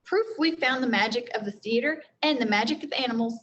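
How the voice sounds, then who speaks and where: A woman's voice: a little high, fairly quick, a bit bright, highs cut off, a little hiss or hum, close to an everyday voice. A woman voicing a practical, cautious eight-year-old boy as she reads a children's storybook aloud. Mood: curious.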